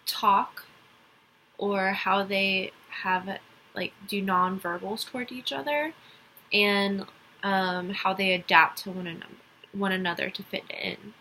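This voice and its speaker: A woman's voice: mid-range voice, fairly even intonation, slow, bright, forceful tone, slight background noise, rough recording. A young woman from Dallas with a light Texas accent, giving a casual class presentation and rambling through it with lots of ums and restarts. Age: young adult. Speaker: college student.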